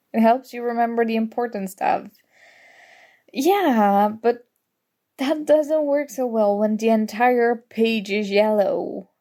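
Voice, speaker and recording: A sarcastic young college woman, played by an actress in an ASMR audio roleplay, needles her academic rival. Her voice sits fairly high with a pronounced creak, and she takes her time over each teasing, exasperated jab. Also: dark tone. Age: young adult. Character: snarky competitive college student, grudgingly fond academic rival.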